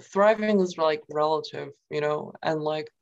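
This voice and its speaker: A woman's voice: sits low, measured pace, a little mellow, highs cut off. A young woman from New York, talking casually as an interview guest and filling her speech with "like" and "um". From New York, USA. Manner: rambling, many fillers and "like". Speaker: guest poet.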